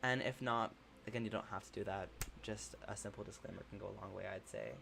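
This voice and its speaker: A man's voice: lowish voice, quick pace, gravelly, slight background noise. Man casually hosting a livestream chat. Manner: relaxed, supportive, with fillers and frequent laughs. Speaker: livestream host.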